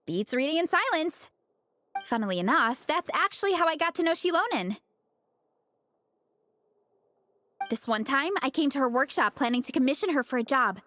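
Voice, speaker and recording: A woman's voice: high voice, animated, fairly quick, fairly bright tone, a little hiss or hum. An actress voicing an upbeat, sociable young woman in a video game, telling things in a breezy, friendly way. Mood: breezy, chatty. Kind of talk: English video game voice acting.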